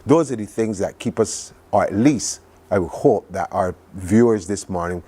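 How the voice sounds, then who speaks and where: Low-pitched Barbadian man telling stories. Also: normal speed, mellow, breathy edge. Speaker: studio guest recounting a trip. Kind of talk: conversational television interview.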